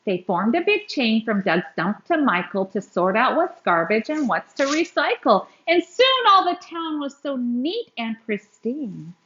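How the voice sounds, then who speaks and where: A woman reads a picture book aloud in a high, very animated voice. She lingers on words for dramatic emphasis, as a storyteller does for young children. The sound is a little dull and lo-fi. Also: a little slow, few pauses, reverberant, a little hiss or hum.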